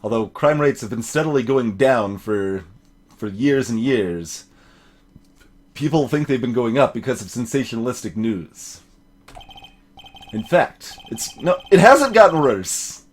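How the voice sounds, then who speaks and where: A man's voice: mid-range voice, animated, measured pace. A man hosting a video game let's play, mixing acted dialogue with joking, digressive asides. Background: soft game music.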